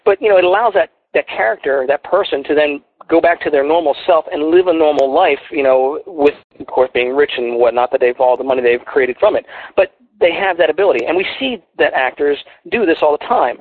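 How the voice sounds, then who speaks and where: A man's voice: on the high side, brisk, no echo. A man from Philadelphia with a faint Philadelphia accent, talking earnestly and rambling on a call-in radio show, with many restarts. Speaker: guest. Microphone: phone. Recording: tinny phone line, dry.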